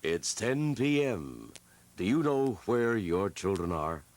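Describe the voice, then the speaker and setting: A man's voice: lowish voice, big pitch swings, slow, a little mellow, a little hiss or hum. A man reading a short public service announcement in the style of vintage television.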